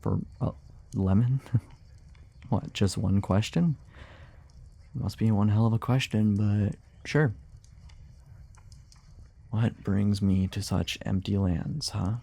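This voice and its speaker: Man playing a slow, gravelly, weary monster hunter. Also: on the low side, fairly even intonation, dark tone, full character voice. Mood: amused, wary. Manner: hesitant, muttering, sighing, wryly sarcastic. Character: weary, grumbling male monster hunter, guarded yet sociable. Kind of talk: immersive ASMR fantasy audio roleplay.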